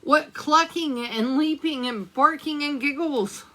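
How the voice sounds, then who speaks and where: Slow-paced woman reading a children's storybook, higher voice. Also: bright, forceful tone. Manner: addresses young listeners with questions and asides. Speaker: librarian storytime reader. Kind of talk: read-aloud children's picture-book storytime.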